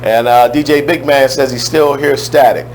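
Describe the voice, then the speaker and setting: A man with a loud, strong voice hosting a radio talk show in a casual, chatty way, speaking into a studio microphone. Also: mid-range voice, steady tone, moderate pace, a little hiss or hum, low-quality recording.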